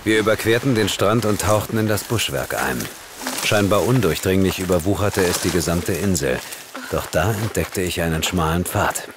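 A man plays a sober hero of a horror radio drama, narrating in the first person. The voice is low and terse, with clear ambient noise behind it. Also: moderate pace, lightly acted. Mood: grim, watchful. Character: male ghost hunter, sober hero narrating his ordeal.

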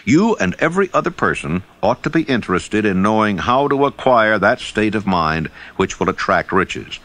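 A man reading scripted audiobook narration in a measured, formal and emphatic way. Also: lowish voice, very animated, fairly bright tone, no room sound.

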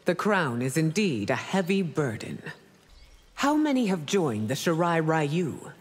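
Regal ageless queen, lively intonation, formal, stately, reverberant hall, video game. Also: deep voice, measured pace, slightly rough, exaggerated voice. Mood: composed. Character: regal, composed ancient empress of long-lived realm. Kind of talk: video game character acting.

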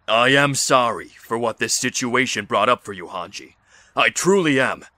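A man's voice: mid-range voice, lively intonation, moderate pace, sharp tone, dry recording. A man playing a determined, pragmatic survivor leader in an audio drama, speaking firmly and persuasively. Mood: firm, pleading. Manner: firm, persuasive.

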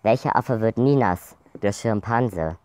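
A man's voice: lowish voice, unhurried, a little mellow, slight background noise. A young adult man chatting casually outdoors as a vlog host, given to blurting out sudden words. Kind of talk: casual chat. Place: outdoors in a garden by a pool.

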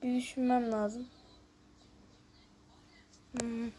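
Higher-voiced woman chatting through a game. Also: flat delivery, drawn-out words, dark tone. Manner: chatty, thinks aloud, reads questions out and answers them. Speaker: YouTube host. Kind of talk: casual gameplay commentary.

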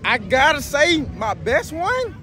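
A man's voice: very high-pitched, huge pitch swings, takes it slowly. A young man interviewee talking in a bragging, casual, slangy way. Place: outdoors at a college homecoming. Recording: outdoor recording with loud music. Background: loud music.

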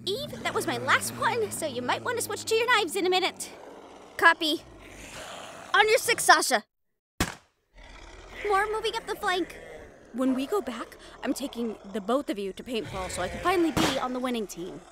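A woman's voice: high voice, big pitch swings, moderate pace, pauses often, noisy background. A woman voice-acting a tough young fighter in a fantasy action audio roleplay, with dramatic, sweary banter.

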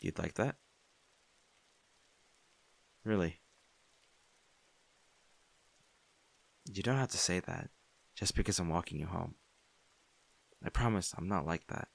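Man playing a low-voiced, caring young barista. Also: unhurried, vocal fry, pauses often, dry recording, full character voice. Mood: flustered, shy. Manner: soft-hearted, reassuring, hesitant. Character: kind young male barista, caring, shyly smitten. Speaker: audio roleplay voice actor. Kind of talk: intimate comfort audio roleplay, natural conversational acting.

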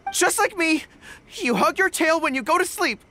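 A woman's voice: very high-pitched, animated, normal speed, bright, forceful tone, slight background noise, full character voice. A woman voicing an earnest, easily flustered young dog-eared warrior boy in an anime game, speaking dramatically. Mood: bold, strained.

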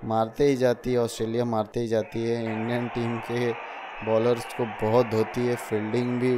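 A young man tells his vlog in a casual voice-over, talking straight to his viewers as friends. His delivery stays level, with little rise and fall. A steady hiss of noise sits under the voice. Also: medium pitch, normal speed. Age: young adult. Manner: chatty, addresses viewers as friends. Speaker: vlogger.

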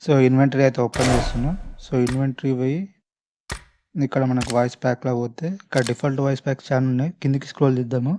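Male gaming host, Telangana Telugu accent. Quick, mellow delivery, informal and chatty; faint noise behind. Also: mid-range voice, flat delivery. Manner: informal, chatty, addresses viewers directly. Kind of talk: casual gameplay commentary. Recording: faint background noise, clicking sounds.

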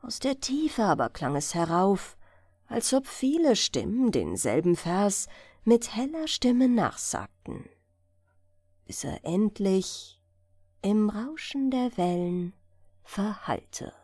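A woman reads a bedtime fairy tale. She takes her time, gently, with lively rises and falls in pitch, recorded close and dry. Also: takes it slowly, husky, no room sound. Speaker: audiobook narrator.

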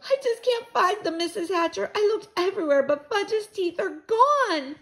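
A dramatic schoolgirl, played by a woman in a children's audiobook, whining and pleading in a high voice. She stretches her words tearfully and sounds defensive, with wide swings in pitch. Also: expressive, a bit bright, heavily acted. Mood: tearful apology. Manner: wailing, pleading, drawn-out words.

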